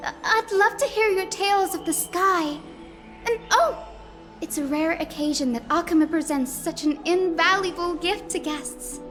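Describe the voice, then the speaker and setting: A woman playing a sweet, bubbly young healer priestess in a video game, cheerful, gushing and excitable. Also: very high voice, moderate pace, faint music behind. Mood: excited, friendly.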